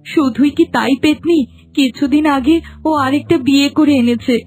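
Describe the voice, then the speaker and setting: A woman's voice: high voice, normal speed, a little mellow, faint music behind. A woman voicing a meek, long-suffering young wife in a cartoon, plaintive and lamenting. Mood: sorrowful. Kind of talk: Bengali cartoon voice acting.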